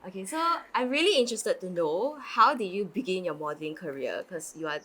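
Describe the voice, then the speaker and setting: A woman hosting a casual interview show outdoors by a pool, upbeat and quick to laugh along with her guest. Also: fairly low pitch, animated, fairly bright tone. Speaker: host.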